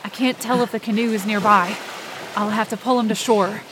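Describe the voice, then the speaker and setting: A woman's voice: mid-range voice, fairly even intonation, brisk, background noise, close to an everyday voice. A woman playing a panicked young woman, narrating a desperate scene in the first person with urgent intensity for a fiction podcast. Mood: tense, exhausted. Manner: urgent, intense, breaking into cries.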